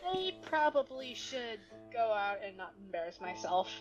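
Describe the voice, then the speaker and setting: A woman's voice: on the high side, animated, moderate pace, highs cut off, music in the background. A woman playing a role-playing game, improvising lines in character as casual chat.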